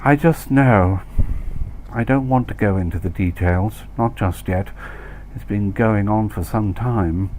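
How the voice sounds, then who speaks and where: A man's voice: on the low side, a little slow, a little mellow, a little hiss or hum, natural voice. Man playing brittle, exasperated betrayed wife. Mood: terse, wounded. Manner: terse, bitter, impatient. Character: Mrs Tregunter-Smythe, brittle, exasperated betrayed expat wife. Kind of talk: acted dialogue in comic short story reading.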